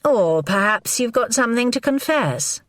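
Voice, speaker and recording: A woman reading the part of a brisk, biting woman confronting her lover in an audiobook. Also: a little high, sing-song, no echo, studio quality, voice pushed up. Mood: teasing. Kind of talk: audiobook character voice.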